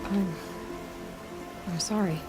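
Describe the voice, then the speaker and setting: A woman's voice: mid-range voice, steady tone, slow, music in the background, natural voice. An actress playing a sceptical, determined young female tracker in a video game. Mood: guarded.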